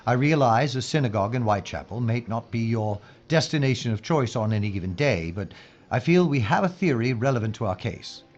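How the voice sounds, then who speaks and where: An actor playing a dutiful, earnest police inspector in a wartime audio drama, speaking in a formal, grave and courteous way. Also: male voice, medium pitch, animated, brisk, lightly acted. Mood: deferential, earnest. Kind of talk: period audio drama dialogue. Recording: slightly muffled with background noise.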